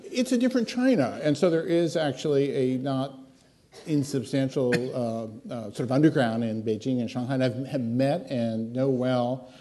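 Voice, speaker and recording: An older man on a discussion panel answers from the stage with a story. He chuckles as he goes, at a middle pitch. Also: normal speed, mellow. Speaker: panel expert.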